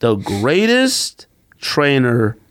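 An American man with a very lively, swooping delivery and an average-pitched voice. He talks like a podcast co-host in casual banter, slangy and emphatic, ready to tease and argue his point. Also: very slow. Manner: slangy, emphatic, teasing, argumentative. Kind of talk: casual sneaker and sports banter.

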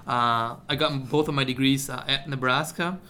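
A man's voice: medium pitch, steady tone, moderate pace, pushed and bright. A man from Brazil, a university agronomy researcher explaining his work in a radio interview, with many fillers and "okay?" checks.

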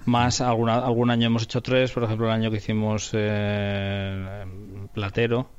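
A middle-aged man with a fairly low voice and a Spanish accent from Spain speaks as an interview guest. He explains things informally, and the sound is a little muffled. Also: flat delivery, normal speed, a little mellow, smooth. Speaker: interview guest, radio producer and sound technician.

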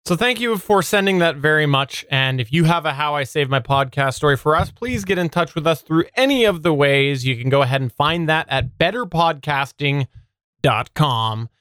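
A man's voice: on the high side, animated, moderate pace, fairly bright tone, smooth, dry recording, clean studio sound. A man co-hosting a podcast in a casual presenting style, moving the show along. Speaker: podcast co-host.

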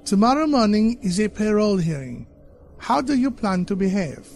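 A man voicing a sharp-tongued female ghostly ballerina in a spooky audio story, mocking and dismissive. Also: high voice, expressive, moderate pace, faint music behind, voice pushed up.

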